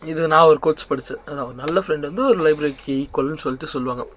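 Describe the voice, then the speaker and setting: A young man speaks in a slightly high-pitched voice. He talks informally like a vlogger giving motivational advice. He slips English words into his speech and keeps checking in with rhetorical questions. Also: rapid-fire, a bit bright, slight background noise. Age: young adult. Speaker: vlog host.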